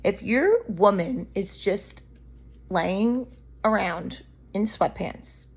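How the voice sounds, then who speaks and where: A woman's voice: medium pitch, lively intonation, takes it slowly, breathy edge. Blunt woman ranting on a vlog. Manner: candid, blunt, rambling rant. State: losing her voice from allergies. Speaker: vlogger. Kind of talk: unscripted rambling monologue.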